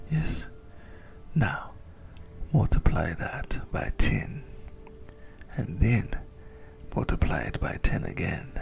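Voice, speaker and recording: Casting: male actor as obsessive yandere vampire for ASMR roleplay; low, very gravelly, breathy whispering, adoring and gleefully indulgent. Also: heavily acted. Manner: theatrical, adoring, drawn-out relish.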